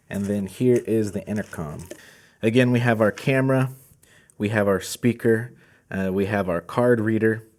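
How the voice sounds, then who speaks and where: A man's voice: lowish voice, a little mellow. A man hosting a product video, walking through gear in a casual presenting style. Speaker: host of a product channel.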